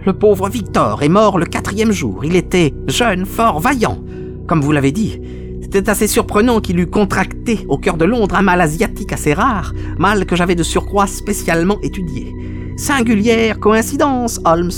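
A man's voice: high voice, expressive, moderate pace, music in the background, pitched above the performer's own voice. A man voicing a cruel, gloating villain in an audiobook, with a mocking, mock-polite way of talking. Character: sneering, gloating villain, a cruel male visitor. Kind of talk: audiobook character acting.

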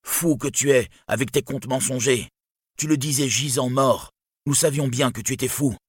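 A man acts out a scornful, angry villager. The voice is lifted in pitch and recorded dry, jeering in dramatic storybook manner. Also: moderate pace, husky, no room sound, close to an everyday voice. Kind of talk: dramatic fantasy storybook reading.

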